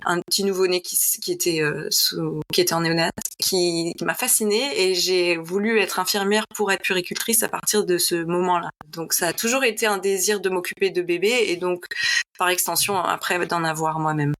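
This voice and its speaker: A woman's voice: fairly low pitch, fairly even intonation, moderate pace, fairly bright tone. A woman in her early forties from northern France, telling personal stories in a casual, chatty interview style with the odd English phrase. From Lille, northern France. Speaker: podcast guest, paediatric nurse.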